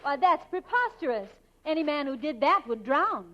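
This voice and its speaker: An actress playing a coy, prim young secretary with genteel, affected diction, in 1940s radio comedy style. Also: female voice, sits high, very animated, moderate pace, fairly bright tone. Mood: chatty, prim. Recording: muffled, band-limited 1940s radio recording.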